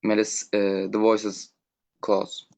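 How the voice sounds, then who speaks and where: A teenage boy from Turkey, a non-native English speaker, presenting a school talk over an online call, halting and filler-heavy. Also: lowish voice, monotone, creaky, a little mellow. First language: Turkish. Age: teens. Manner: halting, reads points with fillers. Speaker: student speaker. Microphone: remote call microphone.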